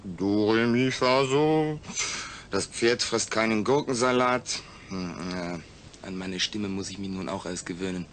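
An actor playing a wary, wry astronaut in a new body in a German radio play. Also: male voice, fairly low pitch, measured pace, a little hiss or hum, natural voice. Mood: wry, curious.

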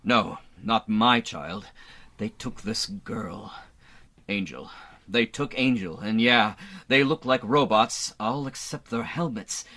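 A man playing a breezy, dim-witted Earthman hero in a radio serial, earnest and melodramatic, with a husky voice. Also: lowish voice, slow, sharp tone, exaggerated voice. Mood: earnest, musing. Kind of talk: old-time radio serial acting.

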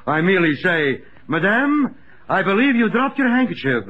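An actor playing a droll, mock-polite but rough tavern helper in a 1950s radio comedy. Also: male voice, sits high, big pitch swings, normal speed. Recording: very noisy, dull 1950s radio recording.

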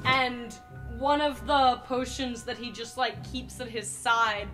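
A woman with a high voice improvises a tabletop game story. She takes her time, talking casually over clearly audible music. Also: steady tone, slow. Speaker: player in a tabletop role-playing game. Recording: music under the talk.

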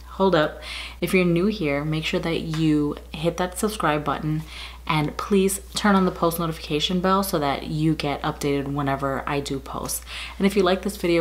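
A woman in her thirties doing a casual makeup tutorial, her voice raspy and a bit muffled from a cold, chatting through each product with frequent ums. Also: sits low. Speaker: beauty vlogger. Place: bedroom with window blinds, indoors.

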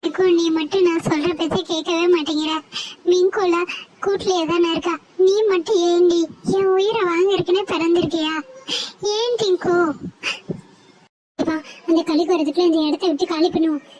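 A woman's voice: very high voice, steady tone, moderate pace, background noise. A woman voicing a worried, scolding mother sparrow in a cartoon. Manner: scolding, anxious. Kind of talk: cartoon voice acting.

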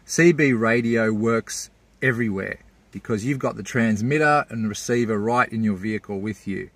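A man's voice: on the low side, moderate pace, a bit bright, no echo. A man, giving a casual, plain-spoken explainer as a vlogger. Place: inside a parked four-wheel drive and outdoors at a bush campsite.

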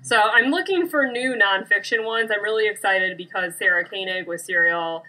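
A woman's voice: mid-range voice, moderate pace, bright, forceful tone, rough recording. A woman co-hosting a podcast in casual chat, with a blunt, informal way of talking. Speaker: podcast co-host. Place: small room.

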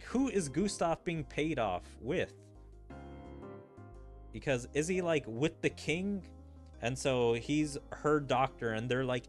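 A male streamer talks casually through a game with music playing behind him. His voice sits at a middle pitch. He rambles as he puzzles things out aloud. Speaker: gaming streamer. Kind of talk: casual playthrough commentary, reading game dialogue aloud.

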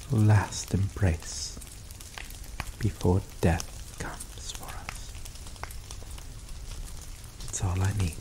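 A young man voicing an obsessive, possessive male lover in an ASMR roleplay, speaking slowly and softly with dark chuckles. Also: lowish voice. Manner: slow, soft-spoken, dwelling on words with dark chuckles. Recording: steady rain noise behind voice. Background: rain falling.

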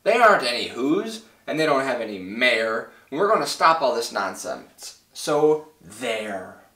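A man voicing mean, jeering jungle monkey brothers, shouting scornfully in a children's storybook. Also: mid-range voice, big pitch swings, measured pace, roomy sound.

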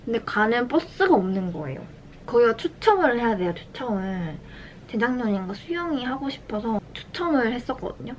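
A young adult woman from South Korea chats casually as a lifestyle vlogger during her daily routine, soft-spoken and unhurried. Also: on the high side, big pitch swings, rough recording. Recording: clear background room noise.

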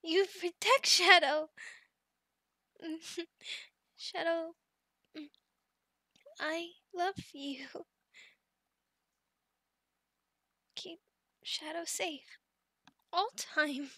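Young female goblin, sweet and timid, bright voice, close dry sound, halting broken speech, ASMR roleplay. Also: extremely high pitch, fairly even intonation, no room sound, full character voice. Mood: shy, trusting. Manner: broken third-person speech in short halting phrases.

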